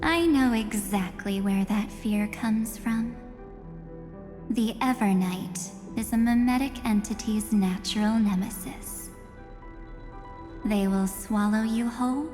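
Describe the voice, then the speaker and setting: An actress playing a cold, self-assured, enigmatic female antagonist in a video game, speaking in measured, coolly threatening phrases. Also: fairly high pitch, dark tone, faint music behind. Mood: cold menace. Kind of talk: dramatic video game voice acting. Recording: long cavernous reverb.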